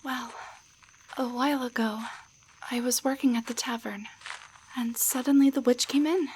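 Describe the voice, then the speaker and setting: A woman playing a shy, grateful young waitress turned into a tiny doll in an intimate roleplay audio drama, speaking softly and haltingly, often near a whisper. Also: on the high side, fairly even intonation, slight background noise, lightly acted, rough recording. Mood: touched, shy. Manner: hesitant, halting, stammering.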